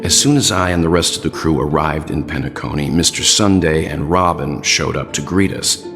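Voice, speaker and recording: An actor playing a composed, courteous gentleman investigator in a video game, speaking in a measured, deliberate way. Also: a bass voice, long reverb tail, over music. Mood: pensive.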